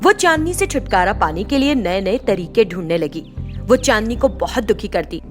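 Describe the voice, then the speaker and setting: A woman's voice: medium pitch, lively intonation, normal speed, faint music behind. A woman giving a scripted narration of a moral story.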